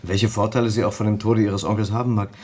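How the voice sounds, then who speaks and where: An actor playing an earnest, troubled gentleman who narrates an old German radio crime play in a careful literary manner. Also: lowish voice, fairly even intonation, slightly rough. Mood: doubtful, troubled. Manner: measured, literary storytelling, hesitant in dialogue. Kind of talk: mid-20th-century German radio crime drama narration and acting.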